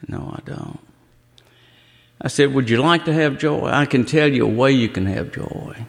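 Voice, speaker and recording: A man's voice: medium pitch, moderate pace, gravelly, big-room sound. A man giving a folksy testimony at a church gathering, telling a story. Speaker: guest speaker, judicial candidate. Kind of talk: testimony speech.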